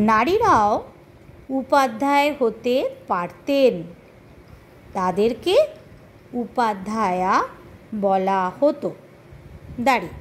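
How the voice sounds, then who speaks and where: A woman dictates a question-and-answer lesson to schoolchildren in a deliberate, measured teaching manner. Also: sits high, a little hiss or hum. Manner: deliberate, spelling out punctuation as dictation. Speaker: history tutor for schoolchildren.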